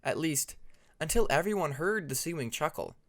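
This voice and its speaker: A man's voice: on the high side, animated, normal speed. A man reading a fantasy story aloud as an audiobook narrator, in a scripted narration style. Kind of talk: scripted fan fiction narration.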